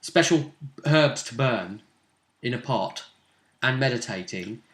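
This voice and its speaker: A man casually talking through a tabletop role-playing game as game master, often pausing and thinking aloud in broken phrases. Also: medium pitch, expressive, a bit bright. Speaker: game master, host of a role-play vidcast. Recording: roomy, echoing room sound.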